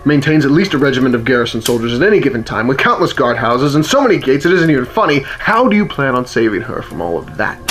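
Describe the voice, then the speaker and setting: A man acting a wry, world-weary, gruffly protective rebel in a fantasy radio play, with a teasing, matter-of-fact manner. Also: medium pitch, very animated, fast talker, few pauses, fairly bright tone. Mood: sardonic warning. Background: music under the voice.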